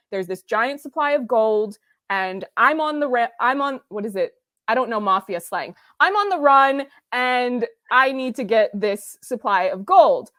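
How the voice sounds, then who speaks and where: A woman's voice: medium pitch, fairly bright tone, no room sound, clean studio sound. A woman hosting a casual, analytical review discussion, speaking in earnest academic terms and acting out thoughts as she goes. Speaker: host of a series review discussion.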